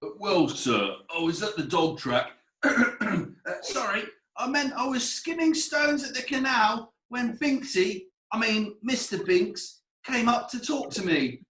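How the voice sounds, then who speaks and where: A man's voice: sits high, very animated, unhurried, fairly bright tone, echoey room, heavily acted, low-quality recording. A man playing a cheeky eight-year-old errand boy in a comic courtroom play, with a Yorkshire accent and a hesitant, deferential manner. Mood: nervous, deferential. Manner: hesitant, deferential, self-correcting.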